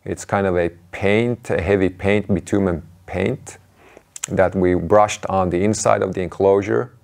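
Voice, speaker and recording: Man, thirties, low pitch, vocal fry, measured explainer delivery, presenter. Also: fairly even intonation, darkish tone. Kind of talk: explanatory talk to camera.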